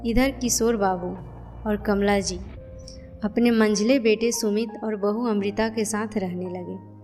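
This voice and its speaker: A woman's voice: medium pitch, steady tone, unhurried, darkish tone. A woman reading scripted narration for a cartoon family story, with music behind her.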